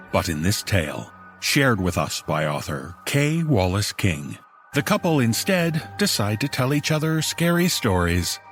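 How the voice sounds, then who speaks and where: A man hosting a horror anthology, narrating from a script in a measured, theatrical way. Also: fairly low pitch. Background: faint music.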